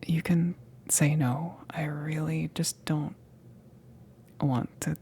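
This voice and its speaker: A woman voicing a flustered, needy female alien crewmate in an ASMR roleplay, with halting, nervous, breathy whispers. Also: low voice, steady tone, dark tone, a little hiss or hum. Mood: flustered, hesitant.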